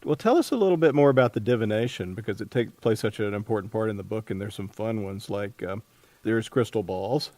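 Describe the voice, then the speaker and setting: A man hosting a casual book interview, speaking in a halting way as he thinks aloud. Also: fairly low pitch, animated, a little mellow, no echo. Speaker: podcast host interviewing an author.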